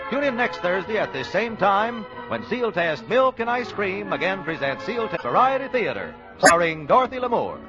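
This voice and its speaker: Lively American 1940s radio announcer man. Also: high-pitched. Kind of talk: 1940s radio announcing, scripted sponsor copy. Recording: dull vintage 1940s radio recording, faint music.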